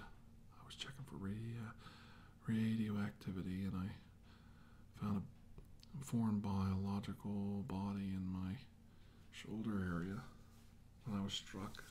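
A middle-aged man playing a grim, remorseful military scientist in an ASMR drama, speaking in hushed, halting phrases that often drop to a whisper. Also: lowish voice, natural voice. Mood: anxious. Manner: hushed, halting, slow delivery in short phrases. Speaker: ASMR role-play actor. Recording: hushed voice over clear background noise.

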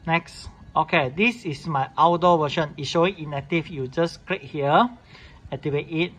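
A man's voice: fairly high pitch, normal speed, fairly bright tone. A man gives an informal, unscripted step-by-step device demonstration in clipped, repetitive phrasing. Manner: repetitive clipped phrasing in non-standard English. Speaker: tutorial host showing a device setup. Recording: clearly noisy room recording.

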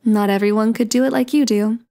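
A woman playing a playful, sweet young daughter of Cupid in an ASMR romance roleplay, coy and gently teasing. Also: fairly high pitch, fairly even intonation, a little fast, natural voice. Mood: impressed.

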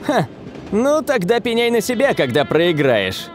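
A man's voice: high-pitched, huge pitch swings, a little slow, music in the background, heavily acted. A man playing an earnest, determined young footballer hero in a cartoon dub. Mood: irritated.

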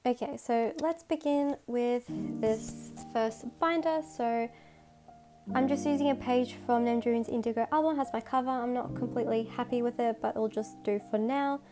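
A woman's voice: fairly high pitch, steady tone, normal speed. A woman with a slight cold, narrating a casual voice-over as she shows her collection, chatty and enthusiastic. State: has a cold. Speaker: photocard collector, channel host. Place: recorded separately as voice-over, not in pictured room. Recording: music playing underneath.

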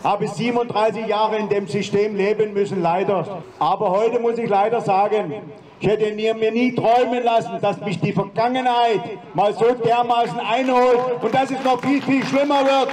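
An older man of around seventy from Dresden speaks in a very high, flat voice. He rambles in long run-on sentences into a handheld mic. He is heard from a distance on a city street. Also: moderate pace. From Dresden, eastern Germany. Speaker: demo speaker at open mic. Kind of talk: indignant protest speech. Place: city street outside a broadcast building. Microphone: handheld mic, far camera.

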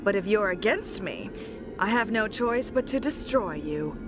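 An actress playing a cool, proud young villainess in a cartoon game, measured and menacing. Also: female voice, medium pitch, animated, music in the background, lightly acted. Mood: persuasive, grave. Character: cool, determined young woman villain, proud machine builder.

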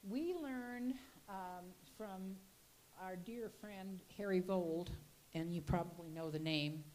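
Older lady telling old ranch stories at a slide talk, handheld mic, kinda low voice, folksy and rambly. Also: slow pace, a little mellow, slight background noise, low-quality recording. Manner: folksy, chatty storytelling with restarts. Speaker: local history speaker. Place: gallery room, indoors.

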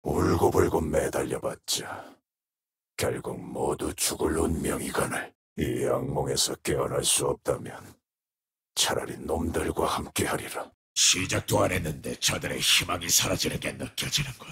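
Grim shadowy phantom assassin for a game, super deep gravelly growl, grave and menacing. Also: male voice, a bit bright, exaggerated voice. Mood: brooding, ominous. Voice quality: very raspy, breathy, gravelly. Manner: slow-burning menace, grave, terse. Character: menacing shadowy wraith assassin, brooding, tormented, male. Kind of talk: video game character voice lines.

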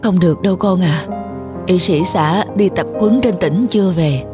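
A woman's voice: on the low side, normal speed, music in the background, lightly acted. A woman voicing a frail, kindly elderly village mother in a cartoon, with a plaintive, homely manner. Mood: ailing, plaintive. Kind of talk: cartoon dubbing.

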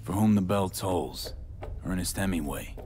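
A low male voice plays a young street mercenary, blunt yet caring. The lines are clipped and understated game dialogue, with faint ambience behind. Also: unhurried, warm tone, a little hiss or hum. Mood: quietly curious. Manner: terse, clipped, low-key. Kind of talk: naturalistic video game dialogue acting.

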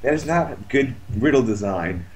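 A man's voice: mid-range voice, expressive, moderate pace, slight background noise. A man chatting casually about a video game, complaining in a sardonic, foul-mouthed way.

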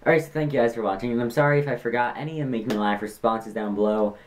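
A man's voice: mid-range voice, quick pace. A teenage boy hosting his own vlog from a small bedroom, chatting casually to the camera at his desk. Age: teens. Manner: chatty, reads jokes aloud in mock voices. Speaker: YouTuber host. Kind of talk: casual vlog reading comments.

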